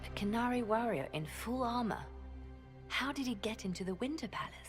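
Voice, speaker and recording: An actress voicing a composed woman spymaster who briefs her leader in measured, precise sentences in a game cutscene. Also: fairly high pitch, animated, faint music behind, close to an everyday voice. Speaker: advisor. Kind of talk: video game cutscene dialogue.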